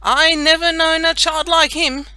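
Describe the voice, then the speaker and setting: A woman voicing a young farm maid in a storybook, wailing dramatically and loudly over her aches. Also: very high voice, steady tone, a little slow, no echo, voice pushed up, full character voice. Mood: exasperated. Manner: loud, dramatic wailing and groaning. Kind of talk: audiobook character voice.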